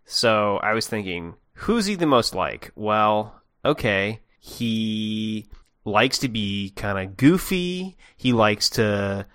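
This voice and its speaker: A man's voice: lowish voice, sing-song, slow pace, a bit bright, smooth, dry recording, studio quality. A man co-hosting a podcast, rambling playfully through his theories in casual conversation. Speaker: podcast co-host.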